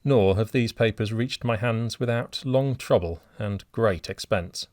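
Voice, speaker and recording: A grim, vengeful man speaks low and slow, every word cold and deliberate, as a male narrator takes him on. His voice sits dry and close to the mic. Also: darkish tone, no echo, natural voice. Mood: grave businesslike.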